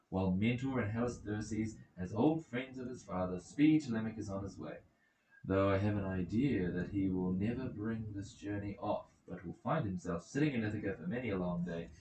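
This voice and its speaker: A man's voice: fairly low pitch, darkish tone, a little hiss or hum, natural voice. A man voicing an arrogant, scornful young nobleman in an epic audiobook, sneering and dismissive. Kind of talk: dramatic audiobook character reading. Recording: roomy echoing home recording.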